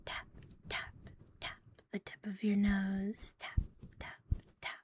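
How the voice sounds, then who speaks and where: A woman streaming ASMR in a soft, breathy, often whispered voice, playful and intimate, close to the microphone. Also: medium pitch, completely flat delivery, takes it slowly. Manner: playful, giggly, intimate, lingering on repeated words. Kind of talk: ASMR roleplay with casual rambling to stream viewers.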